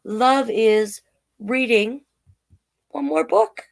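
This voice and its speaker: A woman hosting a children's storytime online, reading deliberately and adding casual, chatty asides. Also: on the high side, creaky. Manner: slow, deliberate read-aloud delivery with chatty asides. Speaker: drag king storytime host.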